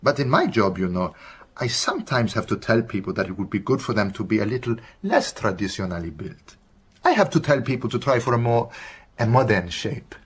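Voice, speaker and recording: Genial doctor, Southern African accent, slightly low husky voice, courteous, measured, cautious, radio drama. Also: male voice, very animated. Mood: gently teasing. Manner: courteous, measured, precise phrasing.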